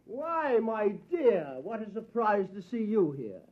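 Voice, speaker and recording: An actor playing a tipsy, henpecked husband who pleads and talks with mock grandeur, in a 1950s radio drama. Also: male voice, high-pitched, sing-song, measured pace, highs cut off, full character voice.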